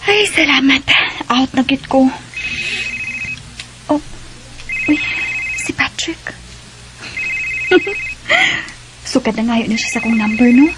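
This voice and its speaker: Young Bisaya working woman, very high voice, expressive radio-drama heroine. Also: takes it slowly, long pauses, rough recording. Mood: cheerful, friendly. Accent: Bisaya Filipino. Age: young adult. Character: young restaurant cashier wife, friendly but increasingly exasperated.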